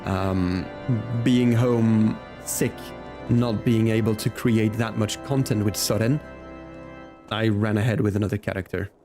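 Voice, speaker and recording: Casual male game streamer over clear music. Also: on the low side, steady tone, measured pace. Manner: friendly, talks directly to chat, thinks aloud. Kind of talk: casual chat and gameplay commentary for viewers.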